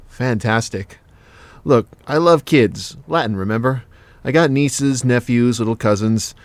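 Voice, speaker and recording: An actor playing a cheeky, big-hearted casual lover in an audio drama, with blunt, slangy, joking talk. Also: male voice, medium pitch, takes it slowly, pauses often, no echo, lightly acted. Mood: teasing, blunt.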